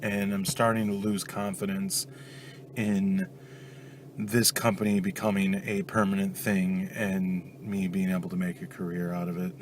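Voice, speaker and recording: A man's voice: low voice, flat delivery, measured pace, a little hiss or hum. A man with a stuffed-up cold talking casually in a daily vlog, venting his frustration. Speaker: vlogger.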